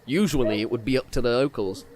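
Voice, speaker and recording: Casting: male actor as dry, no-nonsense lawman, mid-pitched, curt and dismissive, fantasy comedy. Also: quick pace, a little mellow, natural voice. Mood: stern, businesslike. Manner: curt, matter-of-fact. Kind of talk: comedic fantasy audio drama acting.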